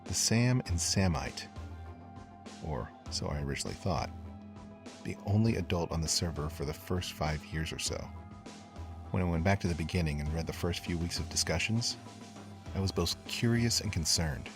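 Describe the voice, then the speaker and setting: Very deep-voiced brooding investigator narrator. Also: male voice, lively intonation, moderate pace, music in the background. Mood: measured, intrigued. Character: brooding male investigator narrating a dark mystery podcast. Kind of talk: scripted first-person horror podcast narration.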